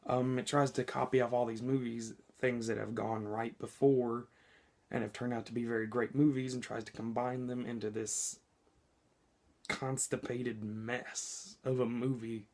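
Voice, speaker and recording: Man, sick and hoarse, a bit scratchy. Casual reviewer, chatty, lots of ums and restarts. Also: medium pitch, flat delivery, unhurried, darkish tone. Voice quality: slightly scratchy. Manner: chatty and informal, frequent ums and self-corrections. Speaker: movie reviewer. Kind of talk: casual spoken movie review.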